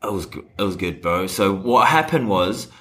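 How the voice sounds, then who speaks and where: Deep-voiced, slangy young man chatting. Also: lively intonation, moderate pace, a bit bright. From Australia and New Zealand. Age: young adult. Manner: slangy, laid-back, says "bro" and "like" constantly. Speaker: podcast guest, hip-hop dancer. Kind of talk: casual chat.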